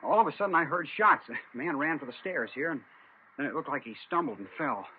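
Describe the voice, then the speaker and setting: An eager, cooperative American witness played by a male actor talks quickly in a medium-pitched voice. It is 1950s radio drama acting. The sound is narrow and muffled with a faint noisy bed. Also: animated, close to an everyday voice. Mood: urgent recounting.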